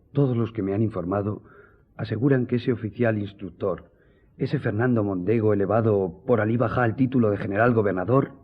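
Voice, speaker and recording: An actor playing a loyal, reassuring gentleman editor in a radio drama, speaking earnestly and formally with a husky, dark-toned voice. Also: fairly low pitch, narrow, tinny audio. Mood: grave, earnest. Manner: earnest, consoling, formal address.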